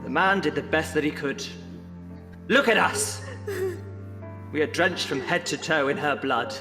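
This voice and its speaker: A man's voice: fairly high pitch, unhurried, natural voice. An actor playing a stern, domineering Victorian husband and father in a period horror audio drama, in long reverb over music. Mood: angry.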